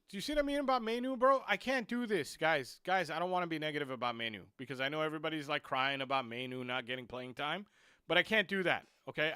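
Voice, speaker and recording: Male streamer, slightly high voice, dry close mic, fired-up fan commentary. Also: smooth, dry recording. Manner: frustrated, excitable rants about players; muttering while fixing stream clock. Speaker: football watchalong streamer. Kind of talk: live stream match commentary.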